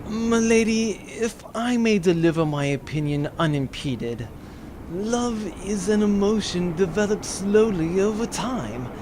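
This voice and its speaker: An actor playing a formal, level-headed servant in an audio drama, with measured, pedantic delivery. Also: male voice, high voice, lively intonation, background noise. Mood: calm, reasoning.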